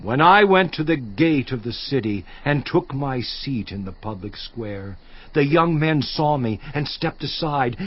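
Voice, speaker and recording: An actor playing a suffering, once-honored biblical patriarch in a dramatized scripture monologue, theatrical and declamatory with long pauses. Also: male voice, mid-range voice, muffled recording. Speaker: actor in a dramatized audio Bible.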